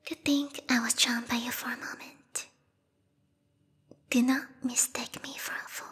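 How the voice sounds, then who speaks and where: A woman voicing a lethal yandere vampire bat girl, a queen's knight with formal, theatrical speech, in a breathy whispered ASMR roleplay. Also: on the high side, little pitch movement, a little slow, fairly bright tone, exaggerated voice. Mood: wounded, suspicious. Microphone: close binaural microphone. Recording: reverberant hall-like echo.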